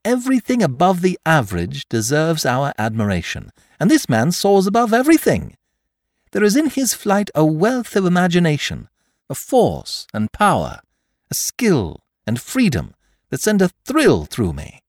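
A man reading aloud and voicing an excitable young male amateur detective who shouts with wonder and admiration. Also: on the high side, normal speed, no room sound, studio quality, voice pushed up. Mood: excited. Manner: feverish, exclamatory, admiring.

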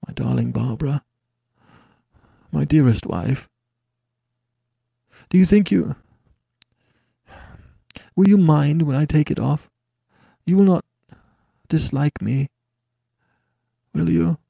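Man doing a mournful disfigured husband, pleading, higher gravelly voice, dry sound. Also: takes it slowly, long pauses, dark tone, no room sound, full character voice. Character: disfigured anguished young husband in mask.

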